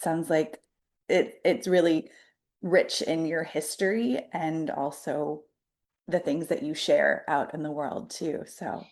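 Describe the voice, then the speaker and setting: A woman's voice: sits low, fairly even intonation, takes it slowly, a little mellow. A woman hosting a podcast, introducing her guest and asking questions in an upbeat, enthusiastic way. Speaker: podcast host.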